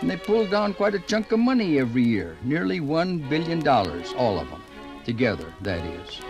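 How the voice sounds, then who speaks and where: An actor playing a folksy, rambling older small-town man, narrating a 1950s radio program. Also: fairly high pitch. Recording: 1950s radio record, music behind.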